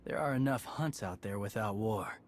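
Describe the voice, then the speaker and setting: A man's voice: on the low side, normal speed, noisy background, close to an everyday voice. An actor playing a laconic young male warrior hunter with a dry, understated delivery.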